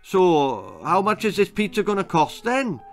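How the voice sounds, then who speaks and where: A man's voice: sits high, very animated, measured pace, soft background music, voice pushed up, exaggerated voice. A man voicing a cheeky, nosy, chattering Welsh boy in a children's storybook, full of questions. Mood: eager, cheeky.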